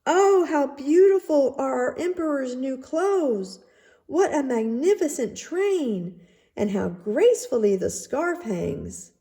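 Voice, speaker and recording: Lady doing gushy fawning royal courtier from a storybook, very high, big swoops, swooning. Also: expressive, takes it slowly, dark tone, full character voice. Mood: gushing admiration. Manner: exaggerated swooning admiration. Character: gushing crowd of fawning royal courtiers and townsfolk. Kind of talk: storybook character voice.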